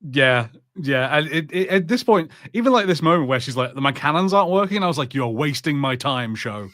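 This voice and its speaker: A man's voice: fairly high pitch, animated, fairly quick, fairly bright tone, no room sound, clean studio sound. A man co-hosting a podcast, chatting casually through a film and TV review in an exasperated, sardonic way. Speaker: podcast co-host.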